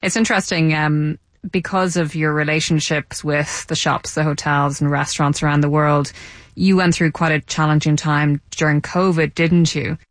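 A woman radio presenter hosting a broadcast interview, asking measured, probing questions. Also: deep voice, steady tone, no echo. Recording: dry studio sound.

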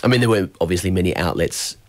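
A man's voice: low voice, quick pace, dry recording. A man hosting a radio interview, asking questions in a friendly way with short interjections. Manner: friendly, prompting, brief interjections.

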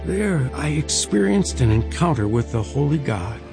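A man's voice: a little high, sing-song, moderate pace, creaky. An actor playing a devout older father, a reformed drinker, narrating his life story in an earnest, emotive way for an audio drama. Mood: anguished, moved. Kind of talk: dramatized first-person narration. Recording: music bed under the voice.

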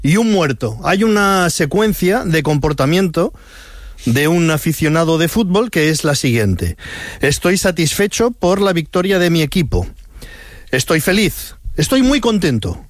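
A man's voice: high voice, sing-song, moderate pace, no room sound. A man hosting a satirical news show, commenting in a dry, ironic tone. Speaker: host.

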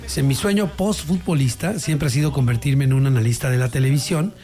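A man's voice: medium pitch, fairly quick, warm tone, faint music behind. A man with a Mexican accent hosting a radio talk show, chatting casually about the news with co-hosts.

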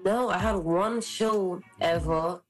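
A woman's voice: on the low side, slow pace, music in the background. A young woman from the Caribbean, a singer, talking casually and confidently in an interview, full of "like" and "you know". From Trinidad and the Caribbean islands. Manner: bubbly, heavy use of "like", confident. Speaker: singer guest.

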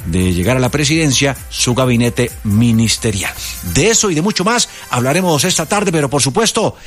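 Colombian radio news anchor, man. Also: medium pitch. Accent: Colombian Spanish. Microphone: studio microphone.

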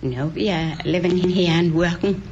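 A woman in her sixties from Denmark with a Danish accent, a quiz contestant answering earnestly in slightly halting English. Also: lowish voice, fairly even intonation, a little mellow. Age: 60s. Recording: dull lo-fi old broadcast recording with hiss.

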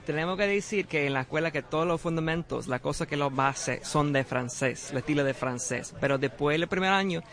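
A young man from Chicago with a Puerto Rican Spanish accent, a culinary student being interviewed outdoors, earnest and warm, repeating words for emphasis. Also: on the high side, brisk, hardly any pauses. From Chicago, of Puerto Rican heritage. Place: outdoor parking lot.

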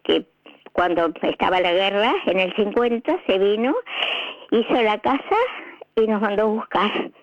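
A woman in her seventies, born in Italy, calling a radio show by phone, talking in a hesitant, emotional way with many halting restarts. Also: medium pitch, dry recording. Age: 70s. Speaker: caller. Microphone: telephone. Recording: dull phone-line sound.

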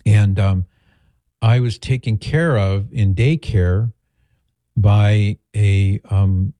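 A middle-aged American man with a very soft, dark-toned voice pitched on the low side. He hosts a talk show and chats casually, wandering reflectively through his stories. The sound is close and dry. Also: steady tone, very slow, dry recording. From greater Los Angeles, United States. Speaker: talk show host answering listener questions. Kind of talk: casual conversational talk, reading out messages.

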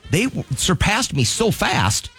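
A man's voice: high-pitched, huge pitch swings, measured pace, pushed and bright. 50s guy on morning radio, chatty, laughs a lot, voice bounces around, light music behind. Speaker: radio co-host. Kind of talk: casual morning radio chat.

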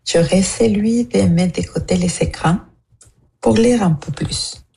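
A woman's voice: on the low side, unhurried, vocal fry, warm tone. A woman from Mexico leaving an earnest, prepared personal message as a radio listener.

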